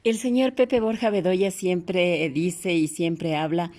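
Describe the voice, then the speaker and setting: A woman's voice: lowish voice, steady tone, slow pace, warm tone. A woman conducting a conversational interview.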